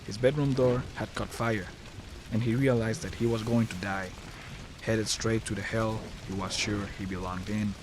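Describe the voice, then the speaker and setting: A man's voice: on the low side, steady tone, normal speed, noisy background. A man narrating a scripted horror story as the narrator, recorded close to the mic.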